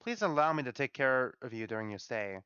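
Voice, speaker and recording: Man as a smooth, soothing motherly afterlife keeper. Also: medium pitch, expressive, fairly quick, sharp tone, dry recording, muffled recording. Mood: tender, soothing. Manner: courteous, soothing, ceremonious. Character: gentle, formal, motherly female keeper of the dead. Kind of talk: dramatic read-aloud of a story.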